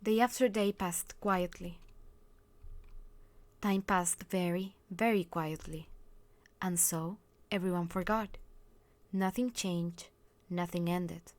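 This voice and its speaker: A woman reading a visual novel aloud as a storyteller, with expressive narration. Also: fairly low pitch, takes it slowly, long pauses, no echo. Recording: loud music behind the voice. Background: game music.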